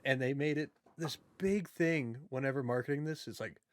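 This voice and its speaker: A man's voice: medium pitch, moderate pace, no echo. Casual male podcaster with a dry, close sound. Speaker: podcast host. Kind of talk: casual chat about movies.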